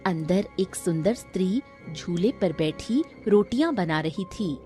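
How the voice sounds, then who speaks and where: A woman narrates a scripted tale in storybook fashion. Her tone is mellow and she takes her time over each sentence, with light music playing behind her. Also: fairly low pitch, animated, measured pace.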